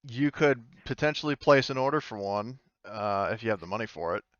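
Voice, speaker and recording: A man's voice: fairly low pitch, animated, a little fast, a bit bright, no echo, highs cut off. A man acting as game master, chatting informally and thinking aloud as he runs a tabletop game. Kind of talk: casual tabletop game narration and chat.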